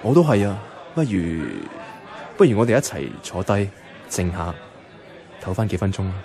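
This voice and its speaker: Casting: understated gentle male lead, Hong Kong Cantonese, lowish warm voice, radio drama. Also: lively intonation, normal speed, some chatter behind.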